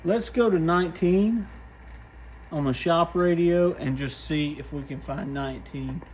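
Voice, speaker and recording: A man's voice: a little high, measured pace, a little mellow, lo-fi sound. A man casually thinking aloud in short, muttered remarks as he demonstrates and tests gear by hand. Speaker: radio shop host testing gear. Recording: clear background noise with clicks.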